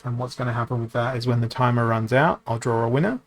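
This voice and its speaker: A man's voice: mid-range voice, fairly even intonation, quick pace. A man live-streaming a card game, chatting casually with his viewers, rambling with frequent ums and restarts. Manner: reads and answers viewer chat.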